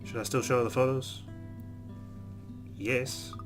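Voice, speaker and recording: A man hosting a let's play, reading visual novel narration and inner thoughts aloud in a dramatic, expressive way. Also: mid-range voice, unhurried, music in the background. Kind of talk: expressive read-aloud game narration with reactions.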